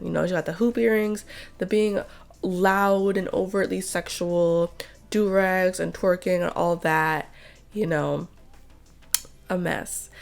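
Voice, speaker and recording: A hoarse, congested woman chats through a casual commentary video. Her voice has a gravelly, fry-heavy texture and sits at an ordinary pitch for a woman. She talks in a chatty, opinionated way. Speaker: art YouTuber. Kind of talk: casual commentary while drawing.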